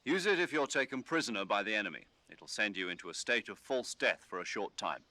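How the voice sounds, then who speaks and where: A man voicing a calm commanding officer who gives instructions over the radio in a game. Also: fairly low pitch. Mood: steady. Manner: measured, instructive briefing tone. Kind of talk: game dialogue.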